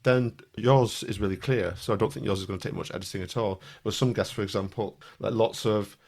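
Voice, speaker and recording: Podcast host, male, lowish voice, easygoing, self-deprecating, stumbles and restarts. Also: fairly even intonation, a little fast, creaky, darkish tone. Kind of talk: casual podcast conversation.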